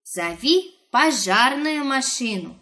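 A woman's voice: high voice, expressive, takes it slowly. A woman reading scripted rhyming narration for small children, as in an educational cartoon. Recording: loud music under the voice. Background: music.

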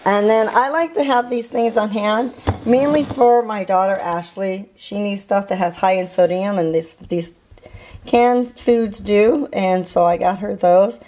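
A woman's voice: mid-range voice, steady tone, measured pace, mellow. A middle-aged woman narrating a grocery haul at home in her kitchen, casual and chatty with lots of ums and uhs, filming on a handheld camera. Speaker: vlogger showing a grocery haul. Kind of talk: casual show-and-tell narration. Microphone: handheld camera filming the counter.

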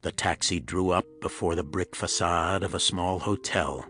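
A man giving scripted narration of a pulp thriller audiobook in a husky voice. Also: low voice, moderate pace, a bit bright.

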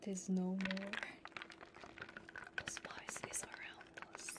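A woman streaming ASMR, chatting playfully in a soft, often whispered murmur close to a binaural microphone. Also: slow. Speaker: ASMR streamer, VTuber host. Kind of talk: ASMR livestream, casual playful chat. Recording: loud crinkling, clicking ASMR prop noises. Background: clicks, crinkling and tapping of props.

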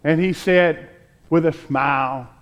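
Slow-paced older male pastor preaching. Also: a little high. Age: 60s. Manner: deliberate, pausing between short phrases. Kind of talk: sermon and spoken prayer. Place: church sanctuary, at the pulpit.

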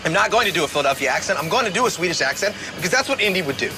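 A cocky sitcom schemer with swooping, lively delivery. He is eager and pigheaded, pushing his ideas over loud music. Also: high-pitched, rapid-fire. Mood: stubborn confidence. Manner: eager, insistent. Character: brash, stubborn, overconfident male schemer. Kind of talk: sitcom acting.

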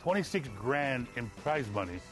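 A man's voice: medium pitch, big pitch swings, normal speed, soft background music. Middle-aged man anchoring TV sports news. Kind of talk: scripted sports news narration. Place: TV news studio.

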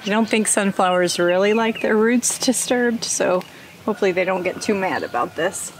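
A middle-aged woman talking casually as she plants in an outdoor garden. Her pitch sits in the middle, and steady outdoor noise runs behind her through the camera mic. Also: normal speed, poor audio. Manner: chatty, talks while working. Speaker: homestead vlogger.

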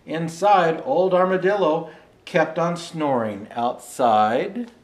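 An older man reads a children's storybook in an expressive, unhurried way. His voice sits a little high for a man, the pace is slow, and the room adds noticeable echo. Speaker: storyteller dressed as Santa Claus. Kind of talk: reading a children's picture book aloud. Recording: roomy indoor echo.

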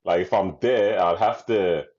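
A man's voice: deep voice, a little slow, a little mellow, husky. A man co-hosting a casual podcast over a video call, easygoing and joking. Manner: easygoing, joking, says "bro". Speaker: podcast co-host. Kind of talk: casual group discussion. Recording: dull lo-fi video call audio.

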